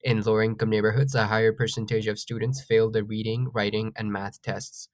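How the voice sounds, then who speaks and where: Even, flat-toned man moderating. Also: on the low side, brisk, no room sound. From Pakistan. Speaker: panel moderator. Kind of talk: presenting findings, then putting a question to a panel.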